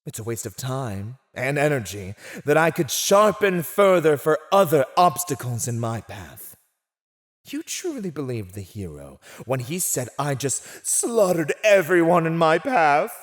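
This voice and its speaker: A man's voice: on the high side, big pitch swings. A man playing a silver-tongued villain warlord who taunts a captive in a theatrical, mocking, teasing way for an ASMR roleplay. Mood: amused, taunting. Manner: teasing, mocking, theatrical, drawn-out delivery with chuckles.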